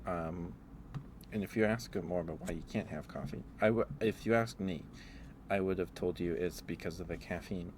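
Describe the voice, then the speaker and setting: Casting: male ASMR streamer, low register, halting off-the-cuff rambler, noisy ambient backdrop. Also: a little mellow. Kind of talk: rambling casual ASMR chat. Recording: clearly audible background noise.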